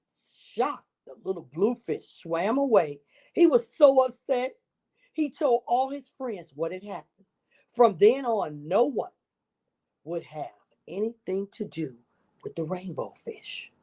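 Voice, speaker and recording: Slow, mellow woman storyteller. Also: lowish voice, breathy edge. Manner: expressive, with asides to young listeners. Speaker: children's storyteller. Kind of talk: read-aloud storytelling for young children.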